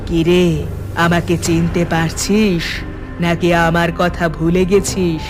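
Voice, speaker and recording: Cartoon ghost woman, played by an actress, vengeful and taunting, dragging each line out in a level pitch over music. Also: little pitch movement. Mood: taunting, accusing. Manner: taunting, menacing, drawn-out delivery. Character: vengeful female ghost back to ruin her wrongdoer. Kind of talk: animated horror story acting.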